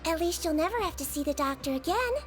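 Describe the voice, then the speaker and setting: Gentle non-human game nurse, very high and sweet, teasingly kind. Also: female voice, lively intonation, fast. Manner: soft, motherly, gently teasing. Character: small gentle female melusine nurse, kindly and teasing. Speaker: prison nurse.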